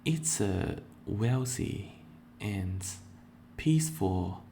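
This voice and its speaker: Slow, low-voiced male streamer. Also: creaky, a little hiss or hum. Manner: slow and deliberate, with playful excited bursts. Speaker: virtual streamer. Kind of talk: casual self-introduction and Q&A.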